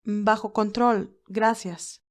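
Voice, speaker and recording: Story role: reserved young woman, stoic and gently reassuring acted by a female reader. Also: mid-range voice, fairly even intonation, slow, lightly acted. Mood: concerned, reserved.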